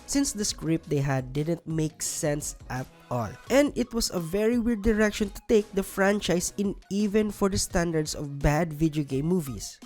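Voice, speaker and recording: A woman's voice: fairly low pitch, lively intonation, normal speed, few pauses, darkish tone. A woman narrating scripted countdown commentary in an opinionated, sardonic, emphatic way. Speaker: video narrator. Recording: music bed under voice-over. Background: background music.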